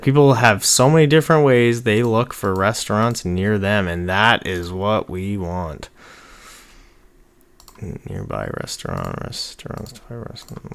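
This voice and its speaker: Man, thirties, lowish voice, casual tutorial host, rambling, thinking aloud, indoors at a computer. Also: moderate pace, few pauses. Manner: rambling, informal, muttering to himself while working. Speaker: online marketing tutorial host. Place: small indoor room at a computer.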